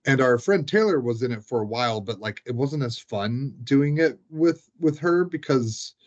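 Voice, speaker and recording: A man's voice: medium pitch, lively intonation, moderate pace. A man chatting casually as he recounts tabletop game sessions, rambling and peppering his talk with "like". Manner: rambling, frequent "like" as filler. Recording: dull, lo-fi sound.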